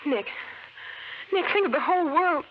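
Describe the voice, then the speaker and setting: Anxious wife, crackly old radio. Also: female voice, very high voice, animated, normal speed. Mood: distressed. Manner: earnest, questioning, distressed. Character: anxious, caring wife sheltering from the end of the world. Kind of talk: 1950s radio drama acting. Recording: muffled, noisy 1950s radio recording.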